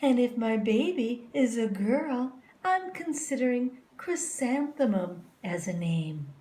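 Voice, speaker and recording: A dreamy, self-assured lady music teacher from a children's storybook, put on by a woman reader. She draws her words out slowly and kindly, in a slightly roomy recording. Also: a little high, lively intonation, mellow, pitched above the performer's own voice. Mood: kindly, proud. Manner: slow, drawn-out, deliberate delivery.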